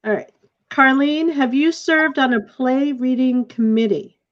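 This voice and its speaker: A woman's voice: high-pitched, measured pace. A woman hosting an informal online event in an effusive way over a video call. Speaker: host and play director. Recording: dull, lo-fi video call audio.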